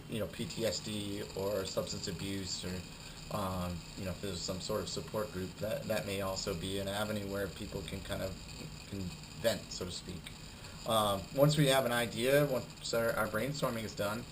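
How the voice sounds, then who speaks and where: A young adult man with a cold giving a recorded class presentation, halting and full of fillers and repeated words. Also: on the low side, background noise. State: has a cold, losing his voice. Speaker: student presenter.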